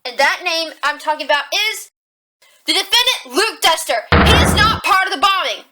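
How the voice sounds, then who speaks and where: A woman's voice: very high-pitched, expressive, very noisy. A woman acting a determined young rabbit police officer, arguing assertively in a courtroom audio drama. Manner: assertive, deliberate, argumentative.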